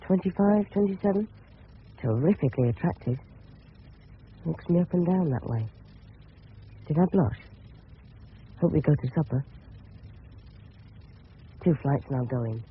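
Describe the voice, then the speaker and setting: A man's voice: fairly high pitch, lightly acted. A male actor playing a polite, earnest, self-conscious English schoolboy of fourteen in a radio drama. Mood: musing, awkward. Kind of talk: radio drama acting with inner monologue. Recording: noisy tinny old radio recording.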